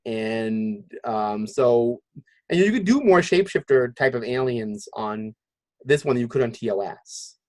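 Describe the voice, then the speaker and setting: A man hosting a casual podcast discussion, halting as he thinks aloud. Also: mid-range voice, lively intonation, a little mellow, no room sound. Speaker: podcast host.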